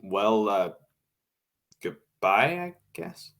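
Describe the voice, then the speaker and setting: A man's voice: on the low side, sing-song, very slow, gravelly, a bit bright, heavily acted. A man playing a wry, bossy radio show colleague in an old-time radio drama, with a dry, casual, take-charge manner.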